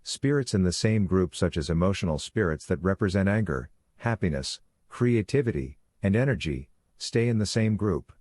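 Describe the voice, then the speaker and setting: A man voicing a calm, authoritative werewolf pack leader who lectures in a measured, instructive way for a fantasy audiobook. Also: deep voice, no echo, studio quality, voice pushed down. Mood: patient authoritative.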